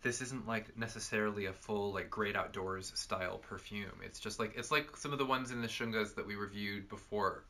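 Bright-voiced young man chatting. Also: lowish voice, normal speed, hardly any pauses. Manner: chatty, lots of fillers, animated. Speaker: perfume reviewer, co-host. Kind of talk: casual chat reviewing perfumes. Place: cluttered indoor room with bookshelves.

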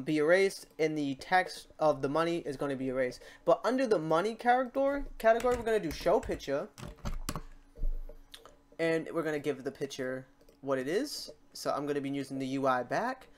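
A man hosting a casual software tutorial, informal and halting as he thinks aloud. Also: fairly high pitch. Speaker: tutorial host. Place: indoors at a computer, keyboard typing behind.

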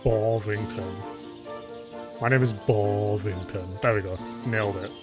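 A man's voice: lowish voice, steady tone, measured pace. Exasperated sarcastic man gaming over music. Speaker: let's play streamer. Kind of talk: casual gaming commentary with swearing. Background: game music.

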